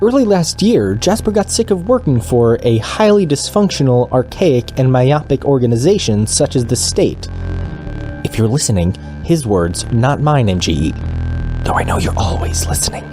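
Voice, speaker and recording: Man playing a smug, mellow-voiced, lively news co-anchor. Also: mid-range voice, moderate pace, faint music behind, natural voice. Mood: flippant. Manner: flippant, dry sarcasm. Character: smug, needling, cynical male news co-anchor. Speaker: podcast news co-presenter. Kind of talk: satirical fictional newscast banter.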